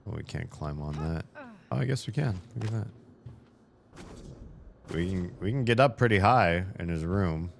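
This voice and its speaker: A man's voice: low-pitched, expressive, normal speed, creaky, pauses often, slight background noise. A man giving casual commentary as he plays a video game, thinking aloud, swearing now and then, his voice a little worn. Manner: rambling, thinks aloud, swears and laughs while playing. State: slightly losing his voice. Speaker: game streamer. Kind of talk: casual let's-play commentary.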